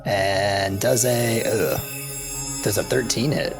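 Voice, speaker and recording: A man's voice: fairly low pitch, slow. A man in casual chat as a tabletop player, hesitantly thinking aloud. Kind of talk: casual chat during a game. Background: clearly audible background music.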